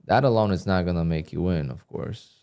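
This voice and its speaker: A man doing live commentary on his trading session, talking to viewers in a flat, dry way that sounds a bit annoyed even when he is content. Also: deep voice, fairly quick, creaky. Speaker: day trader livestreamer. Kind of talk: live stream commentary, reading donations.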